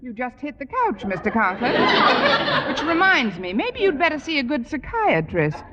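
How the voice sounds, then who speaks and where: Lively, wry radio comedy schoolteacher. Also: female voice, high-pitched, normal speed, a loud crowd. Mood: wry, soothing. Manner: wry, placating. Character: quick-witted wry woman schoolteacher covering up mischief. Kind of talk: 1950s radio situation comedy acting. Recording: noisy muffled old radio recording, studio audience.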